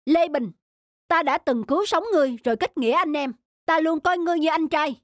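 A woman voicing a brave, loyal young village hero in an animated folktale, earnest and declamatory. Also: high-pitched, fairly quick, dry recording, studio quality, close to an everyday voice. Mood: indignant. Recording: music behind the dialogue.

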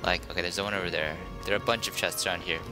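A man's voice: deep voice, fairly even intonation, racing. A young man giving casual, rambling, sardonic commentary as he plays a video game. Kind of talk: casual let's play commentary. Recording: game music under the commentary.

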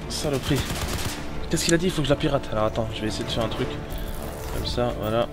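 A man with a cold giving casual, excitable commentary while playing a video game, swearing often. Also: medium pitch, fast talker, over loud music. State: has a cold. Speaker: gamer. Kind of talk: let's play commentary. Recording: voice over loud game sound.

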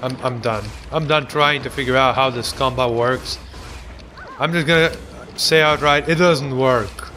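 A man's voice: mid-range voice, steady tone, a little slow, bright, forceful tone, slight background noise. A young man giving casual, sarcastic let's play commentary, joking and ranting about the game. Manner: goofy, sarcastic, hums and mock voices.

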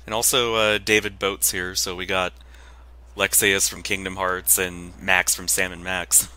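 A man's voice: low voice, level tone, unhurried, bright, forceful tone. A man chatting casually as a co-commentator on a video game playthrough. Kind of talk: casual gameplay commentary chat.

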